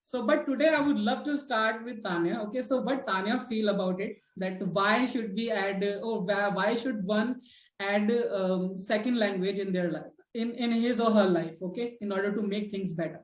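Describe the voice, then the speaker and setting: A woman's voice: mid-range voice, normal speed, roomy sound, low-quality recording. A woman with an Indian English accent, a native Hindi speaker, hosting a casual discussion and talking in a chatty way, with many fillers and restarts and frequent "okay, right?" check-ins. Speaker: host of a spoken English discussion.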